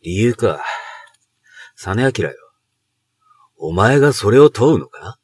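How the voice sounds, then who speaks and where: A man's voice: on the low side, big pitch swings, takes it slowly, darkish tone, husky, dry recording, full character voice. An actor playing a proud middle-aged noble commander and father in a Japanese period audio drama, speaking in archaic, imperious samurai language. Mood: stern, guarded.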